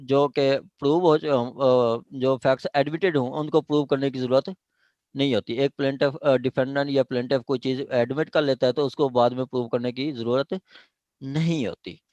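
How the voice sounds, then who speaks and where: A man's voice: mid-range voice, steady tone, moderate pace, darkish tone, no echo, highs cut off. A man with a Pakistani accent lecturing on statute law in a didactic way, checking in with listeners as he explains. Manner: didactic, punctuates points with check-in questions.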